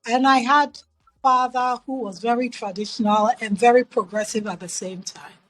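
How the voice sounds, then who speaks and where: High-voiced middle-aged woman with Ghanaian accent. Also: steady tone, moderate pace, a little hiss or hum, rough recording. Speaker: interview guest. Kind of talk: personal life story in an interview.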